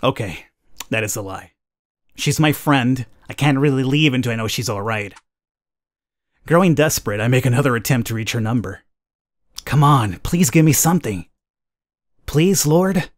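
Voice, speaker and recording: A man hosting a gaming video, reading a horror visual novel aloud as expressive, dramatic first-person narration. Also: medium pitch, normal speed, long pauses. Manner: expressive, acts out the heroine's thoughts. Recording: clear background noise, game ambience.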